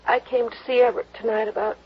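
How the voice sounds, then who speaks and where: An actress playing a dazed, frightened young woman in a 1950s radio drama, halting and trailing off, on a dull old broadcast recording. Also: on the high side, little pitch movement, slightly rough. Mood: dazed, shaken.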